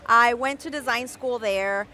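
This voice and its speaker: A young adult woman, born in Mexico City and raised in Caracas, explaining her design process with expressive enthusiasm in a live-stream interview. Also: fairly high pitch, measured pace, a little hiss or hum. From Mexico City, raised in Caracas, Venezuela. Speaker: guest designer.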